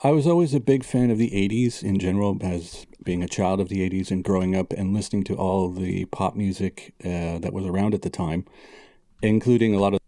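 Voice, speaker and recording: A man in his forties, a composer talking about his past in measured, reminiscing answers with soft fillers. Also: deep voice, steady tone, dry recording, studio quality. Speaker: composer guest. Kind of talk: recorded interview answers. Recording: music clearly heard behind voice. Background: synth music playing.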